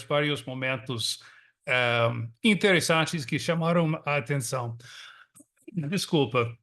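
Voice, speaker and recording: A man from Texas who speaks English natively, talking in an easygoing, chatty way with many filler pauses, with an American accent and a countryside São Paulo Portuguese lilt, on a video call from his suburban home. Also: medium pitch, bright, forceful tone. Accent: American English with a Brazilian São Paulo countryside lilt. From Texas, United States. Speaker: guest panelist, magazine editor. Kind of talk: online panel discussion. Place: home in a small suburban town.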